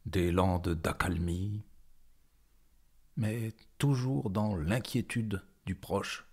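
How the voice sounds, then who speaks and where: A man reciting poetry in a dramatic style, phrasing deliberately with frequent pauses and a husky voice. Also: on the low side. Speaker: poetry performer.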